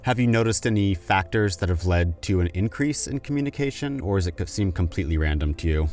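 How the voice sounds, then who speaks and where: A man's voice: sits low, lively intonation, fast talker, a little mellow. A man interviewing a guest, rephrasing his questions hesitantly as he goes.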